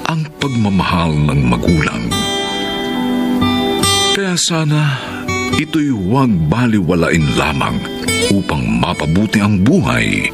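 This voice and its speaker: A low, creaky-voiced Filipino man narrates radio drama with grave theatricality. His melody swings very widely, and loud music plays behind him. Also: wildly swooping pitch, slow pace, pauses often. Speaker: narrator and announcer.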